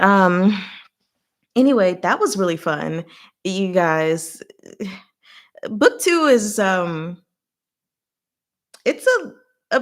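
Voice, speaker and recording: A woman hosting a livestream, rambling casually in a chatty, giggly way with animated reactions. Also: on the low side. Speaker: livestream host.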